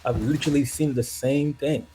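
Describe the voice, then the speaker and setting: A man's voice: medium pitch, steady tone, moderate pace, dark tone, poor audio. A young man from Zambia, calling into a show and talking in a rambling, reflective way with frequent laughs. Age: young adult. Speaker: caller. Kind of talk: casual call-in chat.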